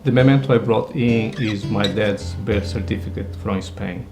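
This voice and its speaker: A man in his sixties, telling a personal family story with hesitant pauses and fillers. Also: lowish voice, music in the background. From Brazil. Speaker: interviewee.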